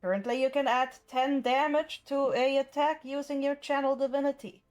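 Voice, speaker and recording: High-voiced woman, firm game master. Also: steady tone, moderate pace, darkish tone. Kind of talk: running a tabletop roleplaying game.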